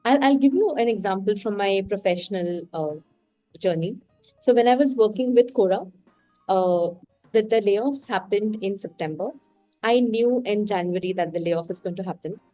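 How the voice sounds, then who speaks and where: Warm-voiced Indian woman, reflective. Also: mid-range voice, normal speed, dry recording, faint music behind, low-quality recording. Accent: Indian English. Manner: reflective and candid, talks through her thoughts. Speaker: podcast guest. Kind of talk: casual interview conversation.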